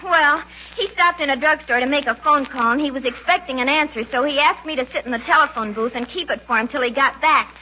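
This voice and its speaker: Man-crazy, chatty young working girl, rambling and naive, bright high pitch, 1940s radio comedy recording. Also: brisk, hardly any pauses. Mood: indignant, rambling. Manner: breezy, rambling. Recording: muffled, faintly noisy 1940s radio recording.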